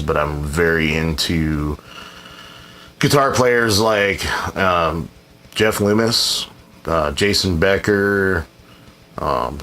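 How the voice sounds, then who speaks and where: Man, very deep voice, laid-back, rambling, casual Q&A, blunt and profane. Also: fairly bright tone. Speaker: musician answering viewer questions. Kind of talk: casual Q&A chat.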